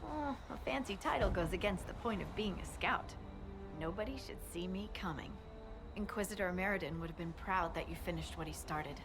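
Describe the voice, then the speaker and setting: An actress playing a cheerful, modest scout in a fantasy video game, speaking informally with wry friendliness. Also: female voice, on the low side, a little fast, over music, natural voice. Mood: wry, friendly. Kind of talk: video game dialogue acting.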